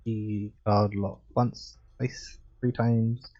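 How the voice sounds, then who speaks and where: Husky, mellow male game streamer. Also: lowish voice, extremely slow, lo-fi sound. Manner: relaxed, chilled. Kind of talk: reading visual novel narration aloud on livestream.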